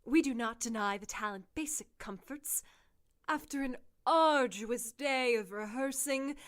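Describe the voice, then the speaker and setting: Woman doing a genteel creepy circus prison tour lady, highish voice, really slow and theatrical, radio play style. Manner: slow, grandly formal showwoman delivery. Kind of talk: audio drama acting.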